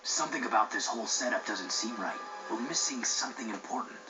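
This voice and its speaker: Man playing serious young racer hero, low pitch, terse, suspicious, understated, game dialogue, loud music behind. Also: quick pace, natural voice, poor audio. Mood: guarded, serious.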